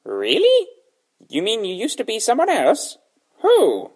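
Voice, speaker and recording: A woman's voice: a little high, huge pitch swings, unhurried, darkish tone, breathy edge, full character voice. A woman voicing a cheerful, kind-hearted, pompous male skeleton in a cartoon dub, earnest and theatrical. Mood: puzzled. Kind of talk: fan comic dub voice acting. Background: music.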